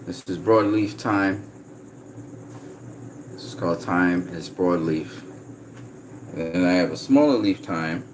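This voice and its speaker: Low-voiced Trinidadian man chatting on stream. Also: unhurried, long pauses, slight background noise, poor audio. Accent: slight American-sounding Trinidadian. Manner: relaxed, reads out viewer comments. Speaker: vlogger. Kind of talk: casual livestream chat with viewers.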